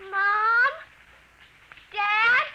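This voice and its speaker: A female performer playing a calm, singsong little girl with sweet menace in a 1950s radio drama. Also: very high-pitched, very slow, heavily acted. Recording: dull, noisy vintage 1950 radio recording.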